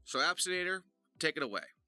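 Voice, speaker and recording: A man's voice: a little high, big pitch swings, fast, bright, forceful tone, faint music behind. A man giving a casual tutorial as a voice actor, with an emphatic, jokey, self-deprecating manner.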